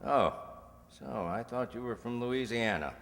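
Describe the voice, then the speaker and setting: A man's voice: medium pitch, unhurried, gravelly, a bit bright. A man playing a wary, cautiously polite narcotics dealer in a radio crime drama, in an echoey hall. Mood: oily, cautious. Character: wary, smooth-talking male narcotics dealer. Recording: echoey hall, faint noise.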